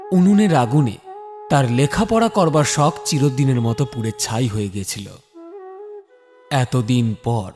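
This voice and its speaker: A man's voice: medium pitch, a little mellow. A man giving a dramatic scripted narration as the storyteller of a horror tale. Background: music bed.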